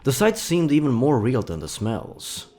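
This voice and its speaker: A man reading scripted horror fiction as a dramatic narrator, with measured, deliberate phrasing. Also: mid-range voice, huge pitch swings, a little mellow.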